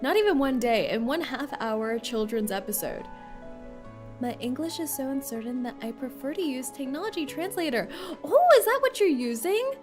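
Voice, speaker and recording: A bright, high-pitched woman streamer talks casually over a game, joking and giggling as she reacts to the story. Soft game music plays faintly beneath her voice. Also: lively intonation, moderate pace. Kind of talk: casual gameplay commentary.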